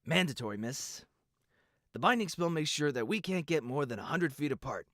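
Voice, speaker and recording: A man's voice: fairly high pitch, big pitch swings, moderate pace, a bit bright, no echo, clean studio sound. A man voicing a dutiful, earnest young royal guard stallion in a fan audio drama, formal and politely restrained. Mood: formal, official.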